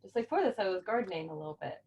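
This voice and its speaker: A woman's voice: mid-range voice, lively intonation, very fast, vocal fry, a little mellow, husky, lo-fi sound. A woman reading a children's story aloud expressively and chatting casually as host.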